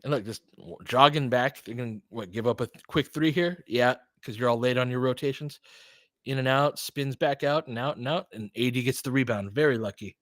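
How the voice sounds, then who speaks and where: A man's voice: medium pitch, brisk, fairly bright tone, dry recording. A man doing informal basketball play-by-play as a host, chatting conversationally and thinking aloud. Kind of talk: casual basketball commentary mixed with chat replies.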